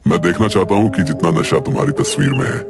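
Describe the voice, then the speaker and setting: A brash young college lad in a cartoon story, acted out by a man, smitten and bold. His voice sits low, over prominent music. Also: animated, fast, creaky. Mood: smitten, insistent.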